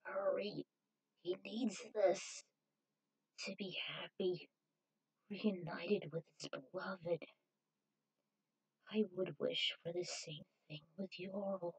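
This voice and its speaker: A woman's voice: fairly low pitch, no echo, exaggerated voice, low-quality recording. Woman acting a whispering, dying female fighter. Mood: weak, pleading. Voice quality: breathy, whispered, weak. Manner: halting, slow last-breath delivery. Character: gravely wounded, dying female fighter, gentle and selfless.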